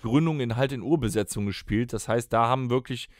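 A man's voice: mid-range voice, a little fast, no room sound. A man co-hosting a podcast in casual, blunt, colloquial talk, close to a studio microphone. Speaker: podcast co-host and band musician.

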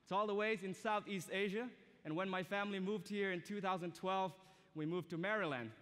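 A man's voice: high-pitched, steady tone, a little fast, fairly bright tone. A young man from Myanmar, an English speaker, preaching a sermon to students in a polite, earnest, conversational way. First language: a language of Myanmar. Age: young adult. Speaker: guest preacher. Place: large indoor auditorium stage. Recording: reverberant hall.

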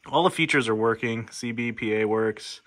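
A man's voice: mid-range voice, normal speed, a bit bright. A man from the United States giving a casual, plainspoken, matter-of-fact demonstration as a radio repair hobbyist. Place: indoor workshop at a workbench.

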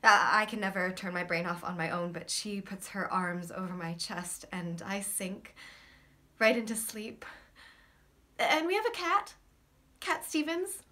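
A woman's voice: mid-range voice, lively intonation, a little slow, pushed and bright. An actress playing a torn, lovesick woman in an intimate theatrical monologue, earnest, hesitant and vulnerable. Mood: tender. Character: torn, lovesick woman, scared yet tender and confessional.

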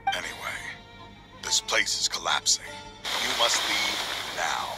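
An actor playing a weary, remorseful old scholar in a video game, grave and measured, sighing, with music behind him. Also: male voice, low-pitched, lively intonation. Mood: resigned. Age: older.

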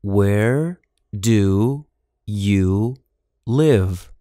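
A man's voice: fairly low pitch, drawn-out words, mellow, dry recording, studio quality. A man with a General American accent reading English phrases for learners, saying each one normally, then word by word. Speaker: English teacher. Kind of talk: slow language-drill reading.

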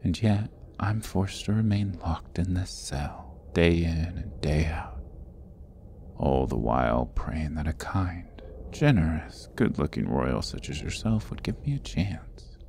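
A man's voice: deep voice, lively intonation, takes it slowly, a little mellow, a little hiss or hum, exaggerated voice. A man playing a sardonic, roguish jailed thief in a fantasy ASMR roleplay, with a wry, teasing delivery that often drops toward a murmur. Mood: sly, wry. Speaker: audio roleplay voice actor.

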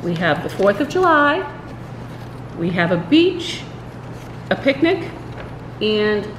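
An older woman reading a children's storybook aloud, expressive and deliberate, with small stumbles. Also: mid-range voice. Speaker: library story time reader. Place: indoors in a large library room. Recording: roomy echo, faint background room noise.

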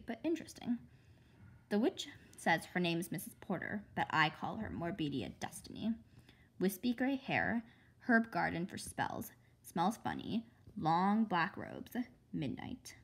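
A woman's voice: mid-range voice, gravelly, slightly rough. A woman reading a children's storybook aloud with dramatic expression. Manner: dramatic, reads sound effects aloud. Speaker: read-aloud host.

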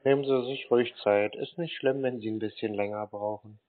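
A man's voice: on the low side, steady tone, fairly quick, a little hiss or hum. A man in a confused-sounding phone conversation, naive and hesitant, stalling as he talks. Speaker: prank caller answering phone scammers. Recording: tinny narrowband phone line.

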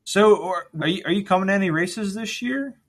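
A man's voice: high voice, a little fast. A man co-hosting a podcast, chatting casually and enthusiastically, with slangy exclamations as he asks questions. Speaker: podcast co-host. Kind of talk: casual interview chat.

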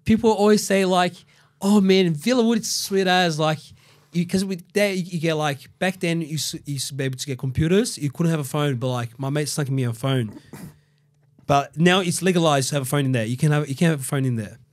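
Animated man, slangy. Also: a little high, fairly quick. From Brazil. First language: Portuguese. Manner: animated, slangy, restarts and repeats words. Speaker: podcast guest. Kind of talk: casual interview storytelling. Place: dark podcast studio. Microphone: studio mic on boom arm.

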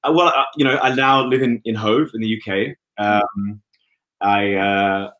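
A man in his thirties from Western Australia talks as a guest on a video call from his home. His voice sits fairly low, and he speaks loosely and hesitantly, often pausing to restart a thought. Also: fairly even intonation, a bit bright, poor audio. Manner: easygoing, hesitant, many ums and restarts. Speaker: guest, opera singer. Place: indoor room at home. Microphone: laptop webcam on video call.

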